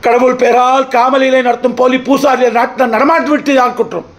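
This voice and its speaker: A man playing a wronged, defiant accused brother pleading his case in court, declaiming in theatrical old Tamil film oratory with dramatic pauses. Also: very high voice, little pitch movement. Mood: furious accusation.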